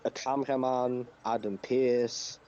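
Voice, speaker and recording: A man's voice: mid-range voice, fairly even intonation, a little slow, dark tone. A man co-hosting a casual wrestling review podcast, talking emphatically and swearing now and then. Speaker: podcast co-host. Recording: dull lo-fi mic, clear background noise.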